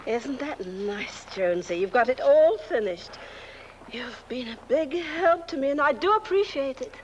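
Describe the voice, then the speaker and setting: An actress playing a scheming, murderous woman in a campy horror-comedy film, cooing sweetly and mockingly, shifting between hushed and shrill. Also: animated, measured pace, dull audio, soft background music. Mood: sugary scheming.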